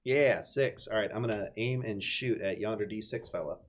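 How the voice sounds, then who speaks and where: A man's voice: lowish voice, normal speed, lo-fi sound. A man playing a tabletop roleplaying game, chatting casually as he takes his turns. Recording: clearly noisy room recording.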